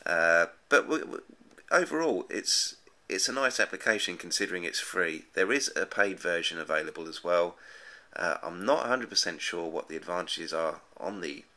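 Man, low pitch, very bright forceful edge, casual review narration, hesitant, rambling. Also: animated, normal speed, hardly any pauses. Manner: hesitant, rambling, repeats words. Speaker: app reviewer. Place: indoors at a desk, off screen.

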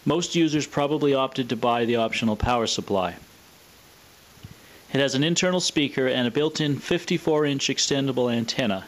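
A man presenting a scripted, explanatory product demonstration in a measured, matter-of-fact way. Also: mid-range voice, poor audio. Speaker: presenter of a vintage radio showcase video.

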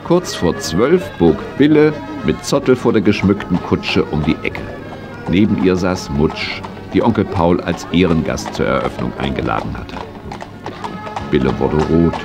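A man's voice: low voice, sing-song, measured pace, creaky, music in the background, full character voice. A man acting as the narrator of a children's radio play, telling the tale in scripted storytelling style. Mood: cheerful.

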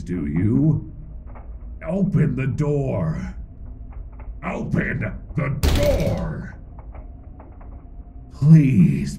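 Horror ASMR monster played by a man: dark-toned, menacing, hungry, halting and insistent, extremely slow; noisy binaural recording. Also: deep voice, heavily acted. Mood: pleading, insistent. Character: menacing hungry monster mimicking crewmates, pleading to be let in. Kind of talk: horror ASMR audio roleplay. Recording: close binaural recording with background noise.

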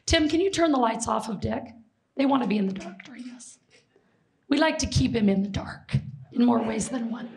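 A middle-aged woman from Seattle speaks to a packed auditorium through a handheld mic, her voice hoarse and gravelly from overuse. She pitches it a touch high and keeps things lively, throwing questions and jokes out to the crowd. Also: moderate pace. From Seattle, Washington. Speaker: presenter and flight attendant. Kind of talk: public history presentation to an audience. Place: large auditorium stage.

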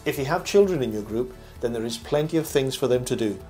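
An older man reading a scripted review voice-over as host, in a measured, formal presenter manner. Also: mid-range voice, expressive, a little mellow. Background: background music.

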